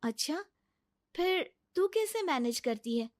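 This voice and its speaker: A gentle young daughter-in-law, put on by a woman narrator for a cartoon story. She speaks politely and earnestly in a high voice. The recording is dry. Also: sing-song, takes it slowly, no room sound, heavily acted.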